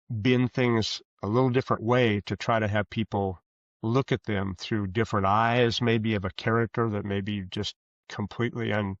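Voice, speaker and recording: A man answering interview questions as a guest author, conversational, with rambling, halting explanations. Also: lowish voice, no echo. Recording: dull, lo-fi sound.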